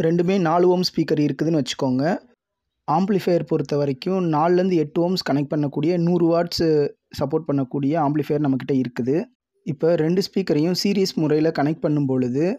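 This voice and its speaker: A man's voice: fairly high pitch, dark tone, no echo, studio quality. A man narrating a scripted tutorial in a methodical, explanatory manner. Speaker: tutorial presenter. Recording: dull lo-fi audio.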